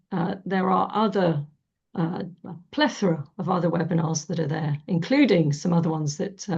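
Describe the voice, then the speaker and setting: A woman with a croaky voice, hosting a coaching webinar in a warm, chatty way with many fillers. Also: on the low side. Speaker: webinar host. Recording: dull lo-fi webinar audio.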